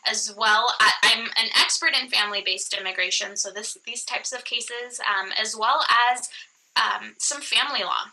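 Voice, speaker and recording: Attorney host for an online webinar: woman, young adult, very bright, sharp voice, chatty with lots of fillers, over a computer mic. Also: a little high, normal speed, poor audio. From born in Iraq, raised in the US. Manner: chatty, frequent "um" fillers and restarts. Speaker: immigration attorney presenting a webinar. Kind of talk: presenting a webinar.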